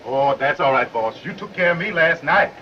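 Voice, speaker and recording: An actor playing a deferential, folksy sleeping-car porter in a 1930s comedy film. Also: male voice, a little high, expressive, moderate pace, lightly acted. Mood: chatty, teasing. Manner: deferential, folksy, ungrammatical speech. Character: deferential, chatty railway sleeping-car porter rousing passengers. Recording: muffled, noisy 1930s film soundtrack.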